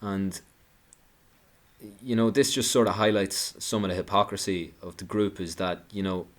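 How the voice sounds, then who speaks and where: Man, deep voice, sarcastic commentator delivering an indignant monologue with stumbles and restarts. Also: fairly even intonation, moderate pace. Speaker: political commentator.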